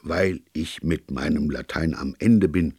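A man voicing a calm, earnest priest detective who reasons at length in an audiobook. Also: a bass voice, unhurried, husky, dry recording, clean studio sound, heavily acted. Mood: rueful, earnest. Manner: even-tempered, sincere, discursive. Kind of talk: audiobook character dialogue.

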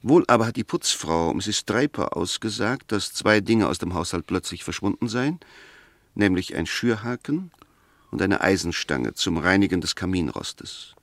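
Measured, low-voiced defence lawyer. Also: male voice, lively intonation, a bit bright, no echo. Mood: reasoned, insistent. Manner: measured, argumentative, precise, pointed. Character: sceptical defence lawyer, methodical, firm, gently ironic. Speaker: defence counsel. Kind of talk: 1960s German radio play acting.

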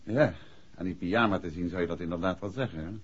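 An actor playing a self-assured, wry detective husband with a brisk, teasing manner, in 1960s radio drama style. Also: male voice, deep voice, slightly rough, no room sound. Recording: dull, noisy 1960s radio recording.

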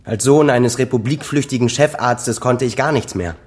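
A man's voice: mid-range voice, normal speed, a little mellow, close to an everyday voice. An actor playing a bitter, sarcastic middle-aged son who accuses his father in a naturalistic radio drama.

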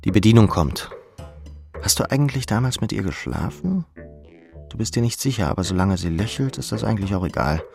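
Male audiobook narrator, gravelly and deep, scripted literary drama with music underneath. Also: animated, a little fast, darkish tone.

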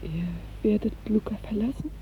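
An actress playing a young, lovesick, dreamy and melancholy maiden in a radio drama, hushed and often whispering, with a wistful poetic delivery. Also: female voice, medium pitch, normal speed, slight background noise, close to an everyday voice. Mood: hushed, sad. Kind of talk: 1950s German radio drama acting. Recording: muffled narrow-band 1950s radio recording.

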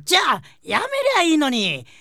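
A flippant young woman in a comic radio play. Her voice is high, bright and animated. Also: a little fast, gravelly, no echo, clean studio sound, full character voice. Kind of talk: Japanese comedic audio drama acting.

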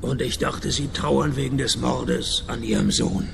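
An actor playing a cynical private detective who narrates a radio play in a dry, sardonic tone. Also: male voice, medium pitch, unhurried, creaky, background noise. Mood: deadpan. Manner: deadpan, sardonic.

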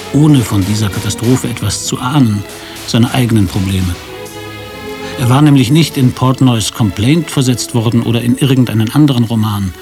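An actor narrating a comic radio play as a detached storyteller, measured and matter-of-fact. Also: male voice, medium pitch, lightly acted. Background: music.

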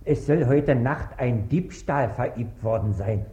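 An actor playing a servile, plodding village constable in a radio play, submissive and clipped. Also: male voice, mid-range voice, unhurried, dark tone, natural voice. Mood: dutiful. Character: servile, slow-witted lowly male village constable. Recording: dull, roomy old radio recording.

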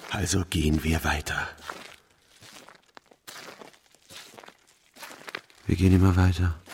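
A man's voice: low-pitched, slight background noise. An actor playing a grim soldier who presses on doggedly, repeating his words like an incantation in a radio play. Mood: grim, insistent. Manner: monotonous, incantatory repetition.

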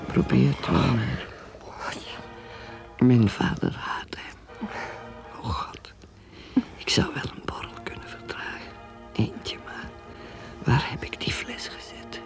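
A woman's bitter, wistful inner voice from a radio play. She whispers haltingly with a gravelly fry and a widely moving pitch, secretly longing for a drink, with music underneath. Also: expressive, heavily acted. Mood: anguished craving. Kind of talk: intimate radio drama interior monologue.

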